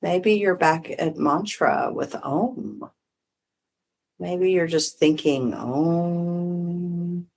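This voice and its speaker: A meditation teacher guiding breath and visualization in short, gently permissive phrases with long pauses. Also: mid-range voice, lively intonation, creaky, husky. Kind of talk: guided meditation, unhurried instruction.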